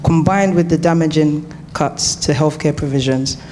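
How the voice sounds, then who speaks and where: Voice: medium pitch, moderate pace, a little mellow, a little hiss or hum. A student officer reading a prepared question to a panel, careful.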